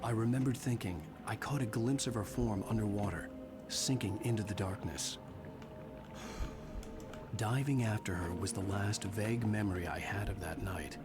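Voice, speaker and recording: Brooding writer, played by a man in a low voice. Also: natural voice. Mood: somber. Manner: grave, measured, somber. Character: brooding haunted male writer, adult. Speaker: game narrator. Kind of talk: scripted narration. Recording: loud game music behind.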